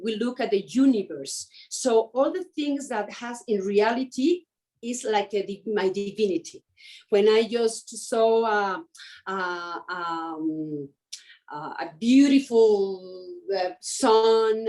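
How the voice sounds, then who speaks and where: A woman, speaking English as an as an interview guest, often pausing and restarting to find her words. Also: medium pitch, dark tone. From Mexico. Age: 50s. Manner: hesitant, searching for words with many restarts. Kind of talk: conversational interview.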